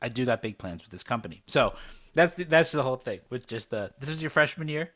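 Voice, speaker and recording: A man's voice: medium pitch, animated, fast talker, fairly bright tone. A man co-hosting a podcast, talking casually in a polite, appreciative manner. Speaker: podcast co-host. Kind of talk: casual podcast chat with sign-off. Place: recorded over video chat.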